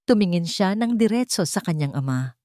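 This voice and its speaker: A woman narrating a scripted dramatic story in a solemn, deliberate manner. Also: fairly low pitch, lively intonation, warm tone, dry recording, studio quality.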